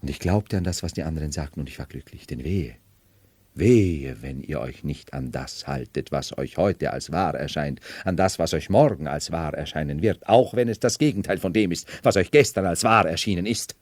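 Radio drama for a brooding, supposedly mad emperor: low male voice, mercurial theatrical delivery, dry close sound. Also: moderate pace, a little mellow, no echo. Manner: theatrical, mercurial, dwelling on words then bursting out. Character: brooding nobleman feigning madness as a medieval emperor. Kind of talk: 1970s German radio play acting.

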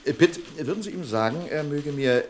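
An actor playing a pedantic, sarcastic office boss in a German radio drama, with pompous, exaggeratedly formal politeness. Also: male voice, a little high, animated, fairly quick, a little hiss or hum, natural voice. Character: pedantic, sarcastic male office boss, nagging about punctuality.

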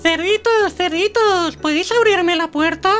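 A woman's voice: very high-pitched, measured pace, music in the background. A woman voicing a sweetly deceitful little lamb, pleading and bleating, in a children's radio play. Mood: coaxing. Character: false-innocent little lamb, a wolf in disguise.

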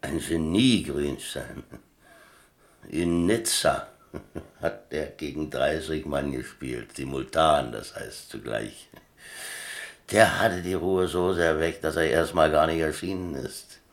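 A very low-pitched seasoned sea captain for a German radio drama. He is easygoing and boastful, telling his tales at leisure with the self-satisfaction of a born raconteur. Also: slow, full character voice. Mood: reminiscing. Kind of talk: German radio play dialogue.

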